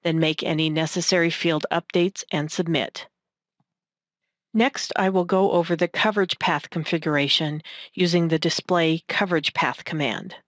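A woman reading scripted narration for a technical demonstration in a measured, instructional manner. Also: deep voice, fairly even intonation, dry recording, clean studio sound. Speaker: software tutorial narrator.

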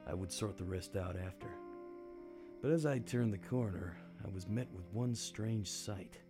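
An actor playing a world-weary, hard-drinking detective in a noir radio play, with wry, understated delivery. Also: male voice, on the low side, a little slow, music in the background, close to an everyday voice. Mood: wry, brooding. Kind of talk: noir radio drama narration.

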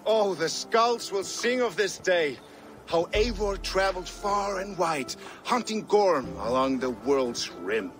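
A man's voice: high voice, animated, slow pace, a bit bright, faint music behind, exaggerated voice. A man playing a jubilant, boastful Norse raider in a game, theatrical and grandiose in his delivery. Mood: celebratory. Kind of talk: dramatic game cutscene acting.

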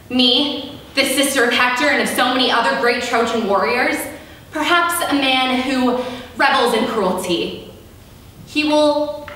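A woman playing a proud, resolute captive young princess in a classical stage tragedy, with solemn, formal, deliberate delivery. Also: on the high side, pushed and bright. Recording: echoey room, distant mic, faint noise.

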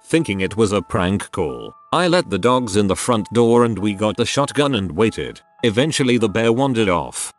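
A man reading online stories aloud as a narrator, in a measured, scripted storytelling delivery. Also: fairly low pitch. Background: background music.

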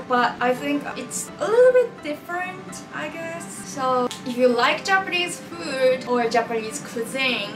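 Woman in her 20s, cheerful vlogger, slow, set back from the mic. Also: high voice, distant mic. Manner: cheerful, chatty, slightly halting. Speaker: cooking vlogger. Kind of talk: casual cooking vlog chat. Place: small room with curtain backdrop. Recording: roomy, mic set back, music underneath.

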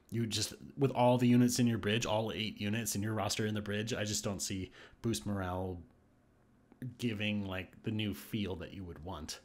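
Man, gaming commentator, casual, hesitant restarts, mid-pitched voice. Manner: thinks aloud with restarts and hesitations. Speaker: gaming YouTuber. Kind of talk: casual commentary on game patch notes. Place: voice-over on screen capture.

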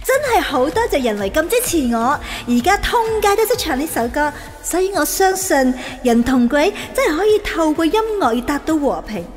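Female ghost idol, radio drama, very high voice, lively swoops. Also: moderate pace, few pauses. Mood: gushing, sweet. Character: glamorous female ghost pop idol, breathy diva. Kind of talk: Cantonese radio drama acting. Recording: background music under radio drama.

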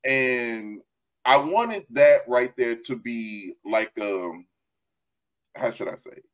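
Animated man on a headset mic. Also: medium pitch, slow, low-quality recording. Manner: animated, laughs often, gestures while talking. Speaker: author and host. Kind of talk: casual video call chat. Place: home room, indoors.

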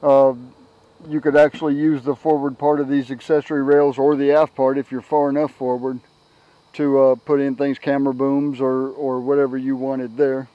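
A middle-aged man giving a casual, unscripted product walkthrough outdoors on a camera mic, halting and repeating words as he goes. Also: medium pitch, flat delivery, warm tone, no room sound, lo-fi sound. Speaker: product reviewer, kayak owner. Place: outdoors on a grassy yard. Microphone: handheld camera's built-in microphone.

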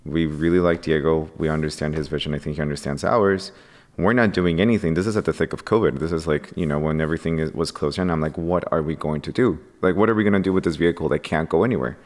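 A man's voice: very deep voice, fast, in a large hall. A man who founded a coffee company, a podcast guest who recounts events by acting out what he said back then, at a studio microphone. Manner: animated, acts out his own past lines. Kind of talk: casual podcast conversation. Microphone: boom-arm studio microphone.

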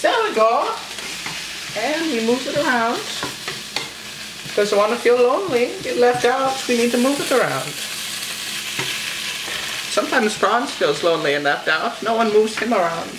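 A man's voice: very high-pitched, sing-song, moderate pace, long pauses, noisy background. A teenage boy playing Franz, an anxious, self-pitying home cook who whines melodramatically to his cameraman. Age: teens. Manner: melodramatic, whiny, fretful asides to the cameraman. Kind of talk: comic cooking show skit. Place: home kitchen, indoors. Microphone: camera mic from a handheld camera. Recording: roomy kitchen with frying sizzle. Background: food sizzling in a pan.

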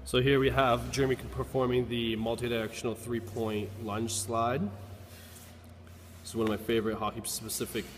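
A man's voice: medium pitch, level tone, moderate pace, slight background noise. A man working as a fitness coach, giving casual, plain-spoken instructional commentary on exercises. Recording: loud background music. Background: loud music.

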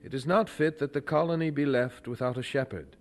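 An actor playing a devout, thoughtful young preacher in a radio drama, speaking formally and earnestly. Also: male voice, medium pitch, a little fast, soft background music, lightly acted. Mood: resolute.

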